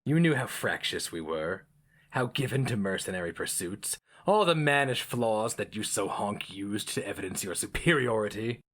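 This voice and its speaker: A man's voice: on the low side, expressive, moderate pace, fairly bright tone. A man voicing a scornful, fervent, half-mad male sorcerer in a dramatic fantasy story reading, theatrical and hectoring. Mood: mocking, hectoring.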